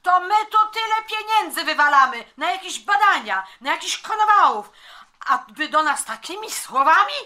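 A woman's voice: extremely high pitch, sing-song, normal speed, sharp tone, full character voice. A woman playing a stubborn, easily offended elderly mother in a radio drama, indignant and grumbling.

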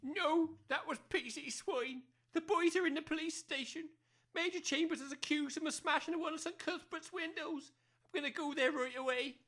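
A woman's voice: high-pitched, moderate pace, a bit bright. A woman playing an anxious, pleading working-class village mother in an amateur comedy audio drama, flustered and apologetic. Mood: worried. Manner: flustered, apologetic, non-standard grammar.

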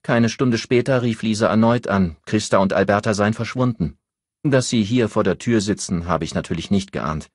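A man voicing a brisk, frank older grandmother with a teasing, matter-of-fact manner in an audiobook. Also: on the low side, a little mellow, breathy edge, dry recording, studio quality, voice pushed up.

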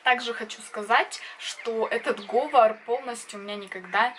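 A young woman in her twenties from Donbass with a Donbass accent, chatting casually to camera in a small wood-panelled room, blunt and quick to laugh. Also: fairly high pitch, fairly bright tone, slight background noise. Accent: Donbass Russian. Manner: chatty and blunt, laughs often, gestures with hands. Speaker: vlogger. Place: small indoor room with wooden wall.

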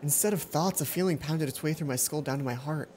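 A young man narrating a game aloud with playful, expressive commentary, as a let's play host. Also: on the high side, fast talker, slight background noise. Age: young adult. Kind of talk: reading visual novel aloud with commentary.

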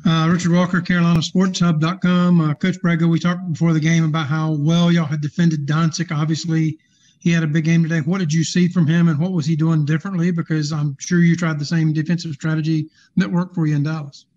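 A man reports for a sports website and puts a question to a coach. His voice is higher than most and stays level, and the call audio is dull and lo-fi. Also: little pitch movement, fairly quick, a little mellow.

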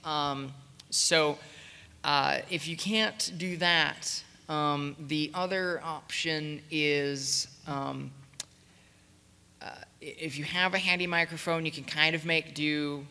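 A man talking casually and haltingly as a panelist at a convention. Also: a little high, fairly even intonation, creaky, bright, forceful tone, smooth. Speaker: panelist, radio play producer. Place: large convention meeting room, panel table. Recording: hall reverb, convention room PA.

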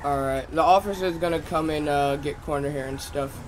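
A man's voice: a little high, fairly quick, slight background noise. A man doing casual in-character roleplay as a fire officer in a video game, addressing people informally.

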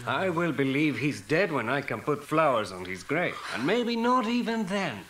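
A man's voice: a little high, big pitch swings, moderate pace, fairly bright tone, slight background noise. A man doing a gallant guide. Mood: solemn. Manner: formal and dramatic.

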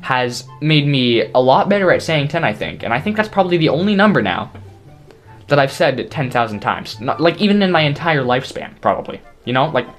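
A teenage boy talking casually to his stream in a small bedroom, excitable and jokey, his voice strained from overuse. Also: medium pitch, faint music behind. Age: teens. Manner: excitable, jokey, talks fast in bursts. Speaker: YouTuber. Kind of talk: casual stream chat to camera.

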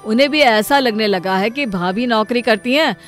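A woman's voice: on the high side, moderate pace, soft background music, lightly acted. A woman voicing a concerned young husband in a cartoon family story, earnest and advising. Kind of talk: animated Hindi family story dialogue.

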